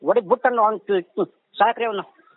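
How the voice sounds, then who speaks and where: A man's voice: high voice, moderate pace, a little hiss or hum. A man with a rural Karnataka accent arguing in an agitated, repetitive way in a heated phone conversation. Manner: agitated, argumentative, repeats himself. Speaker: prank call victim. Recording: tinny narrowband phone line.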